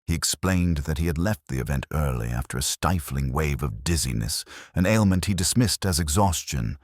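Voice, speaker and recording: A man reading a scripted first-person detective story in a measured, solemn, literary manner. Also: extremely low pitch, lively intonation, no echo, clean studio sound. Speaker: narrator.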